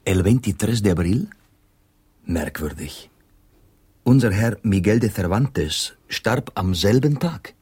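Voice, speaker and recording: An actor playing a courtly, wry Spanish gentleman in a German radio drama, formal and measured, with a Spanish accent. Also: on the low side, expressive, slightly rough, no echo, full character voice. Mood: intrigued, grave. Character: courtly Spanish gentleman, middle-aged or older, dignified and wry.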